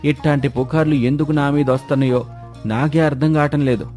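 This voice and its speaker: A man's voice: fairly high pitch, fairly even intonation, quick pace, a little mellow, faint music behind, close to an everyday voice. A man playing a humble, hardworking farmer in a moral story, protesting in a plaintive, insistent rustic manner. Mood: exasperated. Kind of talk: acted moral storytelling dialogue.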